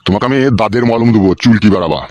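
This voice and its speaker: A man's voice: fairly low pitch, rapid-fire, dark tone, muffled recording, natural voice. A man voicing a bossy, foul-mouthed village politician in a comic cartoon, coarse and hectoring, with a rural West Bengal accent.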